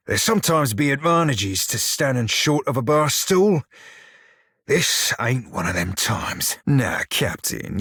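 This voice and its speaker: An actor voicing a short, crude, wry pirate shiphunter for a video game, with a husky, rough-edged voice and a pirate dialect full of salty sailor slang. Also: male voice, on the low side, a bit bright, no echo, studio quality, full character voice. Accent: pirate-style dialect. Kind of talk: video game character dialogue and barks.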